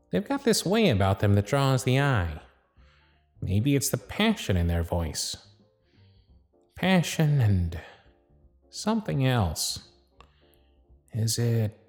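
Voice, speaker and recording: A man playing a bossy but fond elf workshop supervisor in an ASMR roleplay, brisk and teasing with soft asides. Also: fairly low pitch, slightly rough, no echo, exaggerated voice. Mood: fond, musing. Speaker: voice actor. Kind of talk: ASMR roleplay audio drama.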